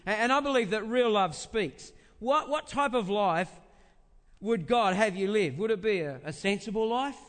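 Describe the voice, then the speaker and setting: High-voiced preacher man in a reverberant hall. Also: animated, fairly bright tone. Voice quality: big voice. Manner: halting repetition of phrases for emphasis, rhetorical questions. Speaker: pastor preaching to a congregation. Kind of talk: conversational sermon. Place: large indoor church space.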